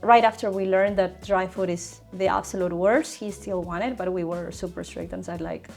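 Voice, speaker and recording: A woman in her thirties from Ecuador with a Spanish accent, telling stories in an animated, conversational way as an interviewee. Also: medium pitch, moderate pace, soft background music. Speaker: interviewee, cat owner.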